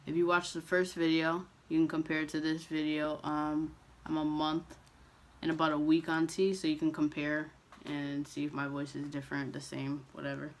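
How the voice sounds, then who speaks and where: A young man chatting casually to camera as a vlogger, relaxed and loose, with frequent ums and restarts. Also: on the high side, moderate pace, rough recording.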